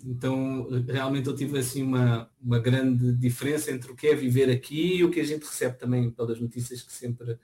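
A middle-aged man from Portugal with a European Portuguese accent, answering interview questions in a relaxed way, often hesitating and restarting his sentences. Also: medium pitch, normal speed, hardly any pauses. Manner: hesitant, many restarts and fillers.

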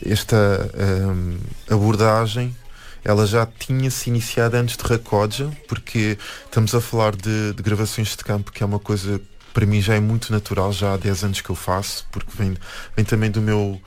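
A man with a European Portuguese accent, a musician and anthropologist talking reflectively in an interview, pausing and restarting often. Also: fairly low pitch, flat delivery, darkish tone. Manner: hesitant, many restarts and fillers.

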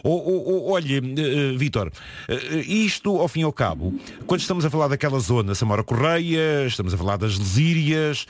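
A man's voice: mid-range voice, expressive, moderate pace, slight background noise. A man hosting a casual radio chat with a European Portuguese accent. He talks in a jovial way with many fillers and friendly asides. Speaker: radio host.

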